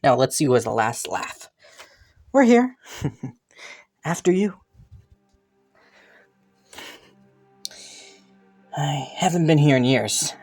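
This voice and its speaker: Man acting a slow-talking, haughty young prince. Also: on the high side, sing-song, a little mellow, soft background music, full character voice. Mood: teasing, guarded. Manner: teasing, smug, chuckling and sighing between phrases. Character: haughty, teasing young crown prince, suspicious but intrigued. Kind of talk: romantic fantasy audio roleplay addressed to listener.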